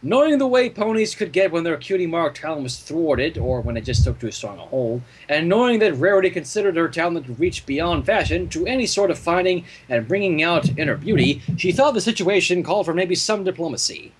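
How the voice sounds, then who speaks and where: A man reading fan fiction aloud as an expressive story narrator. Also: fairly high pitch, a little fast, few pauses, a little hiss or hum, poor audio.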